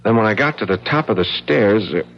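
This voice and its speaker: Low-pitched, harried husband. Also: male voice, animated, fairly quick, gravelly. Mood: uneasy, hesitant. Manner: hesitant, earnest, dramatic. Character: harried, protective, educated husband facing danger. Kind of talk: 1970s radio drama acting. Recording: dull lo-fi vintage radio broadcast.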